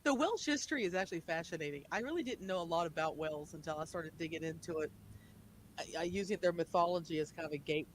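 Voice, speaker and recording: A man's voice: a little high, quick pace, slight background noise. A man with a West Virginia accent chatting casually on a video call panel, rambling a little as he goes. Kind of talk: casual group chat.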